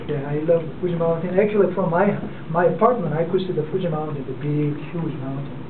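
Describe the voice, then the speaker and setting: A man in his forties from South Korea, with a Korean accent, giving an unprepared speech to a club in a halting way, full of fillers and restarts. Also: a little high, lively intonation. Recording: distant, echoey, phone-like and noisy.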